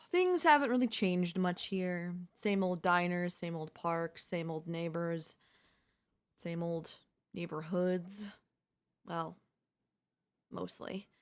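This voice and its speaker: Possessive girl-next-door bully played by a female performer, ASMR roleplay, coy and smug, lingering pace. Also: on the low side. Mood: smug, chatty. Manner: smug, coy, drawn-out teasing. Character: teasing, possessive young woman, former childhood bully next door.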